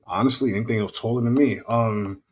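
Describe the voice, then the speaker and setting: A man chatting casually on a podcast, halting and repeating phrases, with slangy talk. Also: medium pitch, darkish tone, a little hiss or hum.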